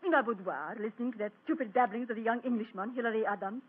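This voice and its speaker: An actress playing a haughty, hot-tempered madame, melodramatic and indignant, in 1940s radio drama style. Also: female voice, a little high, racing, breathy edge. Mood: indignant, scornful. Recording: muffled, lo-fi old radio recording.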